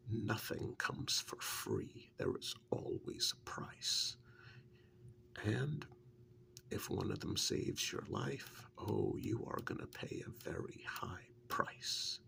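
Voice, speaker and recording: A man playing a witch's assistant in an ASMR role-play, softly telling his story in an earnest, wary, hesitant way with a breathy, gravelly voice. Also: sits low, heavily acted. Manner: hesitant, unhurried first-person storytelling.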